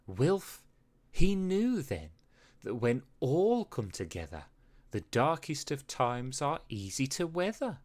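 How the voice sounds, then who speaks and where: A storyteller reading a rhyming children's story aloud with expressive, dramatic phrasing. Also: medium pitch, takes it slowly. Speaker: storybook reader. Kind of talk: children's read-aloud narration in rhyme.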